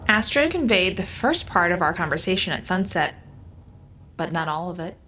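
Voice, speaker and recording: An actress playing a half-human house intelligence in an audio drama, calm, formal and devoted, with precise clinical diction. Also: female voice, fairly low pitch, moderate pace, a little hiss or hum. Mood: matter-of-fact.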